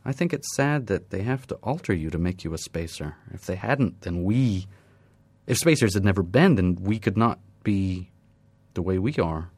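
A man reading the part of a young woman student with a Turkish accent, speaking softly, politely and a little hesitantly. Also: low-pitched, expressive, normal speed, husky, dry recording, full character voice. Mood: wistful. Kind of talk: audiobook character voice.

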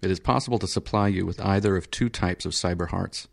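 An unflappable surgeon explains things in a flat, measured, formal way, infinitely patient, as a male narrator gives him voice. The voice sits on the low side for a man, with little show of feeling, in an audiobook reading. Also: vocal fry.

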